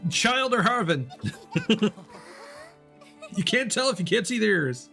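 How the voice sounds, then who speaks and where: A man's voice: high-pitched, very animated, slow pace, sharp tone. A man doing casual live game commentary, thinking aloud into a streaming mic with game music behind him. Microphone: streaming microphone with headphones.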